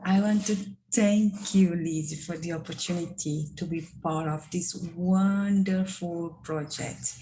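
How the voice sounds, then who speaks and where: Woman from Cape Verde, very slow, mellow and low, heartfelt. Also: steady tone, a little hiss or hum, poor audio.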